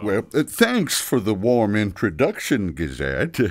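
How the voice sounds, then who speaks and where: A man playing a weary, loving father figure, the Christmas leader, speaking in halting, deliberate announcements in a storybook tale. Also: on the low side, wildly swooping pitch, exaggerated voice. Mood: solemn, deliberate.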